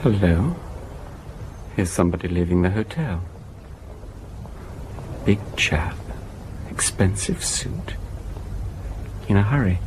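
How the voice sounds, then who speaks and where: An actor playing a young police sergeant in a radio drama, watchful and terse, reporting in clipped phrases. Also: male voice, low-pitched, expressive, slow, long pauses, noisy background. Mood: watchful, tense.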